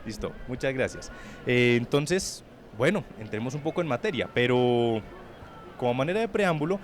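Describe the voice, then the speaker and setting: A man speaking politely and with measured phrasing as he hosts a semi-formal live interview. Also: medium pitch, expressive. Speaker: publishing house director hosting a book launch interview. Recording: busy book fair crowd behind. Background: crowd chatter at a book fair.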